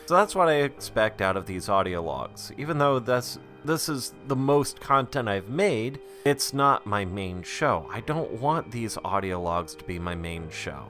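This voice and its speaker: Casting: male creator for an audio diary, low but bright, forceful voice, conversational and self-deprecating, music behind. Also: lively intonation, measured pace, few pauses. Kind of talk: casual rambling audio log.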